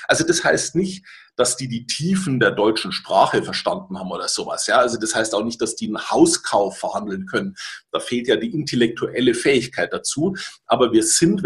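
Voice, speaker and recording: A man's voice: mid-range voice, a bit bright. A man, a native German speaker, presenting an explanatory webinar as a language-learning coach in a didactic way, repeating key words and checking in with listeners.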